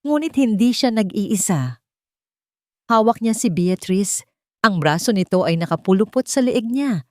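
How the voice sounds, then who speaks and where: A woman's voice: mid-range voice, animated, unhurried, dry recording, studio quality. A woman reading a dramatic scripted audio story as its narrator.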